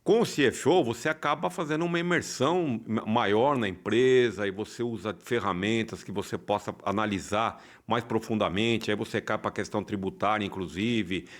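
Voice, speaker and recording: A man's voice: lowish voice, moderate pace, hardly any pauses. A man with a Brazilian accent explaining his work conversationally as a guest on a podcast. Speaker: podcast guest, financial consultant. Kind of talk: conversational interview talk.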